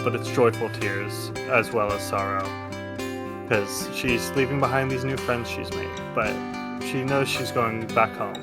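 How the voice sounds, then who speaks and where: Casual male game master over guitar music. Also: medium pitch. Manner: casual, conversational storytelling. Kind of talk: improvised tabletop game narration.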